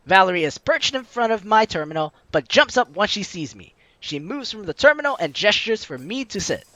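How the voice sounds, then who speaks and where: A man hosting a game playthrough, reading visual novel lines aloud in an expressive, playful, theatrical way. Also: high-pitched, a little fast, no echo.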